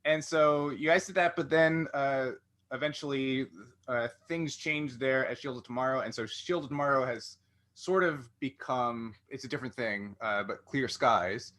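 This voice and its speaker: A man's voice: mid-range voice, normal speed, a bit bright. A man hosting a casual interview, rambling and thinking aloud as he goes, often cutting into his own sentences. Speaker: interviewer, show host.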